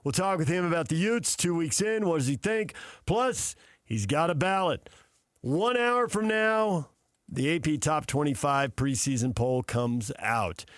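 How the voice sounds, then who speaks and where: A man's voice: a little high, animated, measured pace, no room sound, studio quality. A man hosting a sports talk radio show, introducing segments and putting questions to guests.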